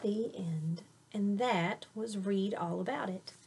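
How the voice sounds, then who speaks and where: Female storytime teacher, medium pitch, expressive, dramatic, chatty with young listeners. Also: takes it slowly, gravelly, a little hiss or hum. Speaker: teacher reading aloud to children. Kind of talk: expressive children's picture-book read-aloud.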